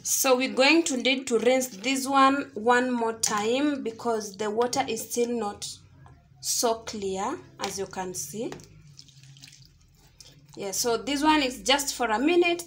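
A woman's voice: fairly high pitch, slow pace, a little hiss or hum. A Kenyan woman giving step-by-step cooking instructions in an explanatory tutorial style. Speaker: home cook, video host.

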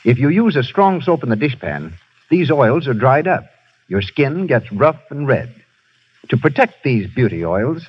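Husky 1930s radio announcer. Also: male voice, medium pitch. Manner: smooth persuasive sales delivery. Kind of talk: read commercial and cast credits. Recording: muffled 1930s radio broadcast.

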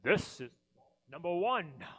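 Storybook villain creature, played by a man: male, sly, nasty, slow emphatic drawl, big pitch swings. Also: sing-song, a bit bright, slightly rough, exaggerated voice. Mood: gloating. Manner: drawn-out, sly, emphatic delivery. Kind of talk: children's storybook character voice.

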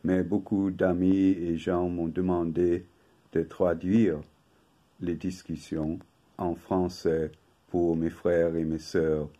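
Slow, deep-voiced man reading aloud. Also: flat delivery, dark tone, dull audio. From England. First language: English. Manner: slow, measured reading from paper. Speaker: video host. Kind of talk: reading a prepared talk. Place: home room with bookshelf.

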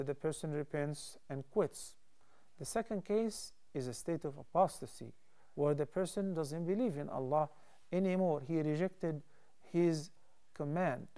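A man in his thirties, a studio TV scholar, answering callers' questions with calm, measured explanations into a lapel mic. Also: on the high side. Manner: calm, measured, explains step by step with hand gestures. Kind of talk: studio call-in Q&A advice.

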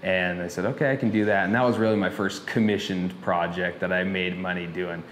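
A young man in his twenties, a welding shop owner, talking in a relaxed, easygoing documentary interview. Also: sits low, a little fast. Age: 20s. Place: indoor fabrication shop office. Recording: roomy shop echo, music under.

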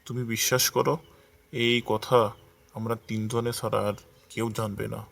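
A man's voice: lowish voice, level tone, normal speed, creaky, fairly bright tone. A man playing a respectful, eager young village farmer in a cartoon fairy tale, with an earnest manner. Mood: puzzled, earnest. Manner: earnest, deferential. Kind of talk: animated fairy-tale dialogue.

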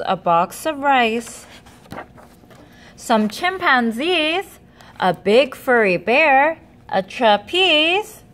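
Lady doing a high eager little boy from a storybook, urgent, exclaiming his errand list. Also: fairly bright tone, heavily acted. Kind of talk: children's picture book read-aloud.

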